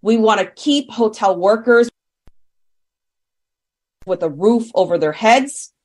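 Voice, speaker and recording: A woman speaking as a union representative at a press briefing, firm and deliberate as she lays out points. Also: mid-range voice, lively intonation, darkish tone. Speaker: hotel workers' union representative. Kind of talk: spoken statement at a press briefing.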